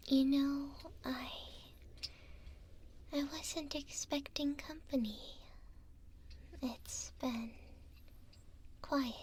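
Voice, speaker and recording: A woman playing a gentle, curious elf prisoner in an ASMR roleplay, speaking softly and hesitantly, close to a binaural microphone. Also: high-pitched, steady tone, slight background noise. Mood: shy, welcoming. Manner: slow, soft, hesitant with gentle pauses.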